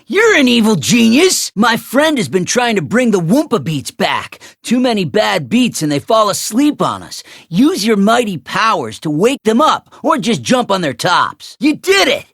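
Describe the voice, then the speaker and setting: An actor playing an excitable, anxious but friendly islander in a cartoon video game, exclaiming and eager. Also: medium pitch, moderate pace, vocal fry, few pauses, slightly rough, dry recording, studio quality, full character voice. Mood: urgent, hopeful.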